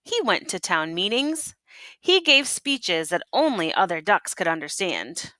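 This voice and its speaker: Bright-voiced woman reading a storybook aloud. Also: lowish voice, very animated, unhurried, no room sound. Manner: teacherly, prompting listeners with questions. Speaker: teacher reading a picture book aloud to children. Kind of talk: expressive read-aloud storytelling with asides and questions.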